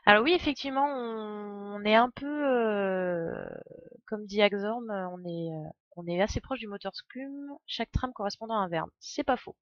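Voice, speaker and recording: A woman's voice: medium pitch, moderate pace, dry recording, lo-fi sound. A woman giving casual live game commentary as a streamer, chatty and playful, wandering into asides.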